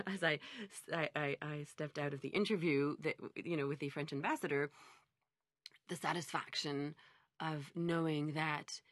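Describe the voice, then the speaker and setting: A woman's voice: sits low, gravelly, no echo. A middle-aged woman from Montreal, an interpreter answering interview questions in a reflective, conversational way, often reworking her sentences as she goes. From Montreal, Canada. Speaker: conference interpreter being interviewed.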